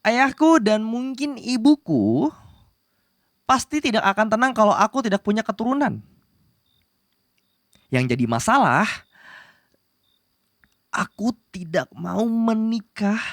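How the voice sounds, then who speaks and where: A man playing a young demon prince in a romantic ASMR roleplay, soft-hearted but bossy, with flustered, possessive tsundere manners. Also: sits high, big pitch swings, slow pace, long pauses, no room sound, clean studio sound, heavily acted. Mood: calm, scheming. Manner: stammering, flustered denials, possessive commands.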